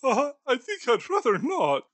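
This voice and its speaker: A man voicing a frightened, pleading old miser in a high, wavering, whimpering voice for an audiobook. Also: wildly swooping pitch, unhurried, fairly bright tone, no room sound, full character voice. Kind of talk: audiobook character voice.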